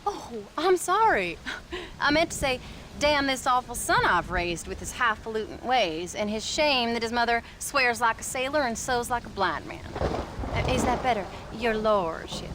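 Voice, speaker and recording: A middle-aged frontier mother in a Western game, with a high American voice. She is sardonic, teasing and sharp-tongued, with clear noise around her. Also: expressive, normal speed. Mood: mock-hurt, sarcastic. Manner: sarcastic, mock-sweet, teasing. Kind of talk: video game cutscene acting.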